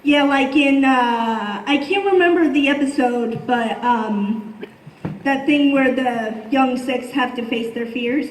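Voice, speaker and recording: A woman asking questions from the audience at a panel, speaking in a hesitant, halting way. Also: high voice, a little hiss or hum. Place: convention panel room. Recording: echoey convention room, distant mic.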